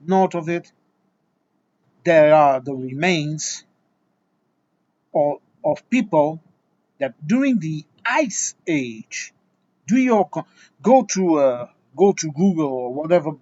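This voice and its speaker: Portuguese man, fairly high voice, lecturing and exasperated, dull lo-fi recording. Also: lively intonation, slow, darkish tone. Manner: exasperated, lecturing, many restarts and repeated words. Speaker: video essayist. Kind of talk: impassioned opinion monologue.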